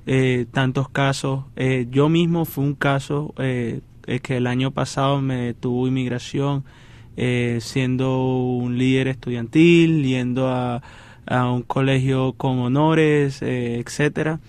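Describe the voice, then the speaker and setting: A man's voice: mid-range voice, steady tone, slow pace, darkish tone. A man from Venezuela, a student guest, speaking earnestly and steadily with some fillers.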